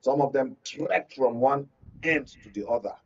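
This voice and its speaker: A man's voice: medium pitch, normal speed, husky. Distant-sounding Nigerian man, emphatic political analyst. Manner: emphatic, repetitive, rhetorical. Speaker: media analyst, studio guest. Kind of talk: political commentary in discussion. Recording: dull lo-fi audio, distant mic.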